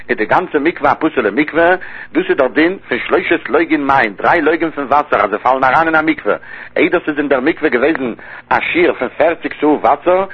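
A man leads a Talmud study lecture in a lilting cadence, his phrases climbing and dropping. His voice is husky and of middling pitch. The recording sounds dull and lo-fi. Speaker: Talmud teacher.

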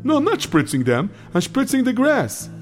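An actor playing a scatterbrained, cheerful camp groundskeeper who rambles naively in a children's audio drama. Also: male voice, very high voice, huge pitch swings, normal speed, faint music behind, exaggerated voice.

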